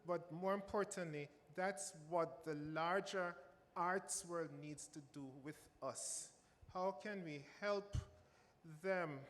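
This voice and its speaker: A man's voice: sits high, slow, a bit bright. Jamaican man speaking in a large hall. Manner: emphatic, repeats phrases for stress. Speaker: music professor on a panel. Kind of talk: panel remarks. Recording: reverberant hall with faint ambience.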